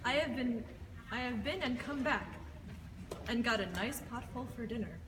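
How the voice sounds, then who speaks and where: A man voicing a small, plucky storybook pig in a light, earnest falsetto. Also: expressive, normal speed, recorded from a distance. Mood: agreeable. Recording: noisy, echoey hall recording.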